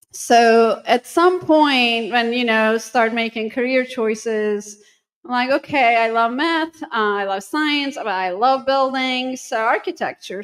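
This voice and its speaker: Middle-aged woman w turkish accent, kinda high voice, lots of ums and restarts, chatting as a guest. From Turkey. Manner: thoughtful, hesitant with many ums and restarts. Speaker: university dean, guest. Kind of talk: casual interview talk.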